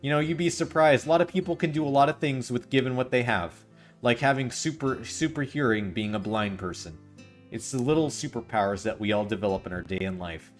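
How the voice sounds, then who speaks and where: A man's voice: medium pitch, brisk, soft background music. Ironic playful male streamer. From Canada. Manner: ironic, playful, self-mocking. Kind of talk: reading a visual novel aloud and chatting with chat.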